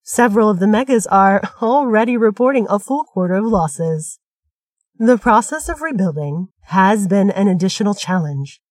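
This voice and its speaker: Audio drama: female news reporter woman actor, medium pitch, composed scripted read, dry studio sound. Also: darkish tone, no room sound, lightly acted. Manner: measured, formal.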